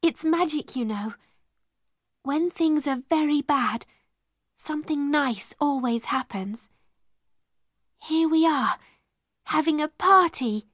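A woman voicing a gentle, imaginative young girl in a story, earnest and full of wonder. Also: high voice, slow, long pauses, dry recording, clean studio sound, voice pushed up, full character voice. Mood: happy.

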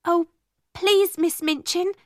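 A woman voicing an eager, kind-hearted schoolgirl in a story, excitable and anxious to please. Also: high voice, slow, no echo, studio quality, voice pushed up. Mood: pleading. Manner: excitable, pleading.

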